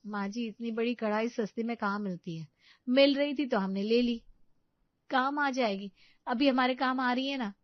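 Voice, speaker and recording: A meek young daughter-in-law, polite and eager to please, speaking in a high, light voice, as a woman puts her on. Dull, lo-fi and dry recording. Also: normal speed, dry recording, close to an everyday voice.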